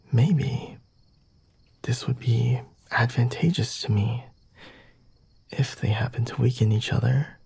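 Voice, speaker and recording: A man plays a refined, flirtatious vampire lord in an intimate ASMR roleplay. His voice is very breathy and slips often into whispers, with a creaky, gravelly edge. He speaks slowly and formally, teasing the listener in a mellow tone, recorded close and dry. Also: medium pitch, dry recording, exaggerated voice. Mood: hushed, insistent. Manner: formal, teasing, measured and deliberate. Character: flirty, refined male vampire master, coy and unhurried.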